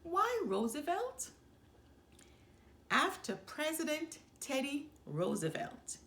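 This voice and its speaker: A woman reading a children's book aloud in an expressive storytelling style, in short, deliberate phrases with pauses for emphasis. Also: fairly high pitch. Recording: roomy, echoing room sound.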